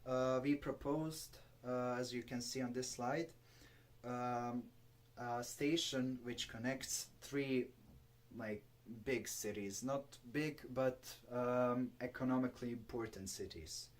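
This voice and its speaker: Hesitant man with a Bosnian accent. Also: mid-range voice. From Bosnia and Herzegovina. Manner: hesitant, halting delivery with many fillers. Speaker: amateur radio presenter at an online conference. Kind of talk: technical slide presentation.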